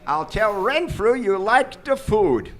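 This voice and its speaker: A man's voice: high-pitched, sing-song, takes it slowly, faint music behind, exaggerated voice. A man playing a smug, overly courteous doctor with a formal, unctuous manner in a live radio comedy.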